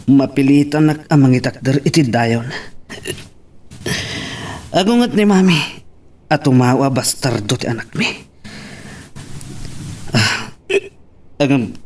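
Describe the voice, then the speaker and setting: Man acting as defiant young lover, agitated, argumentative, mid-range pitch, Ilocano accent, radio-style love drama. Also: a little mellow, husky. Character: defiant young man refusing a forced marriage.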